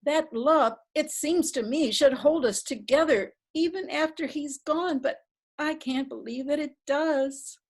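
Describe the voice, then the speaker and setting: High, unhurried woman playing a doubtful widow, plaintive. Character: widow doubting her husband's spirit. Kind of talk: readers theatre reading.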